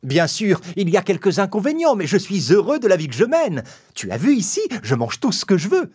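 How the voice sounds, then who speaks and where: A man voicing a small, excitable, expressive rat in a theatrical manner for a children's cartoon fable. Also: sits high, a little fast, breathy edge, full character voice. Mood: debating. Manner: exclamatory, theatrical.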